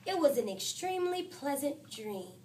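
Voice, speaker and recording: A woman teacher reads a picture book aloud in her classroom, with a somewhat high voice. She makes the story lively and expressive, lifting the characters' lines. She sounds a little distant from the microphone. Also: moderate pace, a little hiss or hum. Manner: expressive storytelling, voices quotes higher and louder.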